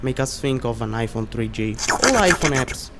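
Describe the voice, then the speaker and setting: A man's voice: mid-range voice, moderate pace, very noisy. A man with an Italian accent, a non-native English speaker, narrating a scripted video essay in an earnest, explanatory way.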